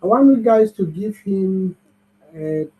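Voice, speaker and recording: A man's voice: high voice, expressive, takes it slowly. A man hosting an online bootcamp, upbeat and cheering the audience on over a video call. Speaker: bootcamp host. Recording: muffled video-call audio.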